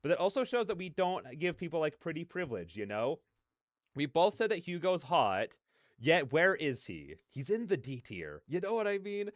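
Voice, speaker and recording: A man's voice: fairly high pitch, sing-song, normal speed, no room sound, clean studio sound. A man hosting a reaction video with casual, effusive commentary, repeating phrases for emphasis.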